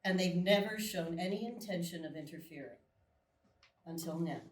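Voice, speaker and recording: An actress playing a blunt, probing female military colonel at a live screenplay reading, measured and pointed. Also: fairly low pitch, lightly acted. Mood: grave, probing. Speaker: actor in a live table read. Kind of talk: dramatic script reading. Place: small live theatre stage. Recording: echoey theatre, far from the mic.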